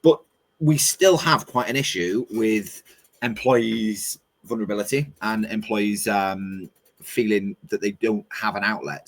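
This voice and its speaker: A man hosting a webinar panel on a video call, asking questions with a lot of hesitant restarts and fillers. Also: on the low side. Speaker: webinar host. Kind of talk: moderating a panel discussion.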